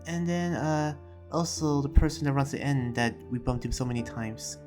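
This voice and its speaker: Roleplayer as troubled young-hero demon slayer, earnest, halting reflective narration, medium pitch, music behind. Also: little pitch movement, lightly acted. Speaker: roleplayer and video creator. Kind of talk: in-character recap narration and improvised roleplay. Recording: music under the voice.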